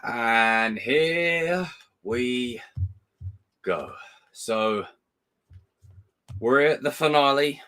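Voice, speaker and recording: A man hosting a live watch party with a croaky morning voice, talking nervously, swearing and pleading. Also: medium pitch, animated, extremely slow, long pauses, bright, forceful tone. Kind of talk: live reaction commentary.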